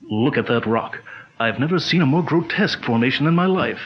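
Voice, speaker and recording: A man plays a steady adventurer hero who leads with calm, measured authority and explains things clearly. His voice has a mid pitch and a dull, lo-fi old-radio sound. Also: slight background noise, natural voice. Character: steady male adventurer hero, commanding leader. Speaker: expedition leader. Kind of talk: 1940s radio drama acting.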